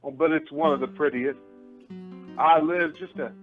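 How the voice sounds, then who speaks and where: A man's voice: a little high, fairly even intonation, normal speed, lightly acted. Man acting a theatrical folksy storyteller, tinny line. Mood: folksy, wry. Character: folksy, genial storyteller host of yesteryear, theatrical and wry. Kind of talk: old-time radio play narration. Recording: tinny phone line with music behind.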